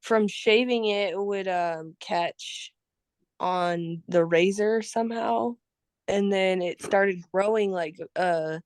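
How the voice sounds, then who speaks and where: A woman's voice: medium pitch, slow pace, no echo. A woman in her thirties, a podcast guest chatting casually and laughing often as she tells stories. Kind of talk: casual chat.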